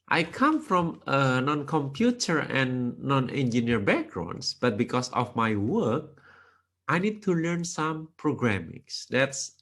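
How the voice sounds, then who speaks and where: A man with an Indonesian accent gives a programming tutorial in careful, non-native English, lecturer style. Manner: slow, careful, with non-native grammar slips; lively intonation with sudden pitch rises.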